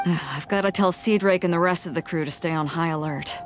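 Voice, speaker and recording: Bold game sea captain, low, blunt and in charge, voiced by a woman. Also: brisk, soft background music, lightly acted. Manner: blunt, commanding, confident. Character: bold tough female ship captain. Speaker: ship captain.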